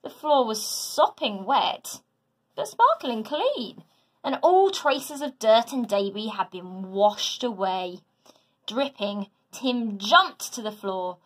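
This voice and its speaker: Slow, high-voiced woman reading a storybook. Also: animated. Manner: dramatic, deliberate. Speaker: teacher reading to a class. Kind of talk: expressive children's storybook narration.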